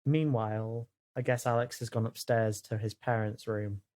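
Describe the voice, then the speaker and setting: A man's voice: fairly low pitch, fairly even intonation, moderate pace, a little mellow. A man with a British accent improvising casually as a roleplay player, emphatic and given to exasperated repetition. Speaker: player in a tabletop roleplay podcast.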